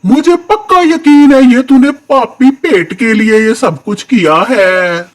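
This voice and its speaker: A man performs a blustering cartoon villager with a high voice. He scolds and accuses slowly and emphatically, always ready to threaten. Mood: accusing. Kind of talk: children's cartoon story dialogue.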